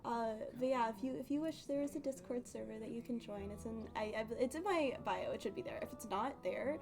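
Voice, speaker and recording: A woman's voice: a little high, a little fast, gravelly. A woman chatting casually on a game livestream in a rambling, giggly, slightly nervous way. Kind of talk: casual game stream chat.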